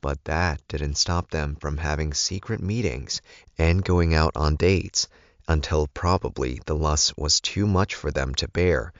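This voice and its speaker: A man's voice: rumbling low, measured pace, no echo, muffled recording. A man reading first-person fiction aloud as a scripted audiobook narration.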